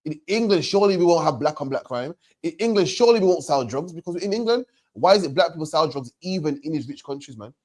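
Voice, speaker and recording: A man preaching in an emphatic, repetitive way, hammering each point home. His voice sits a little higher than most men's. He ends his phrases with "man". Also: lively intonation, quick pace, a little mellow. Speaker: religious teacher. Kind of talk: street preaching to a questioner.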